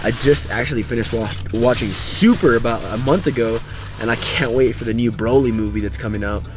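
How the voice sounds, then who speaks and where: A man's voice: mid-range voice, a little fast. A young man from Texas chatting casually to camera in a laid-back, slangy way as a vlogger. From Texas, United States. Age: young adult. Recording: clear car and traffic noise.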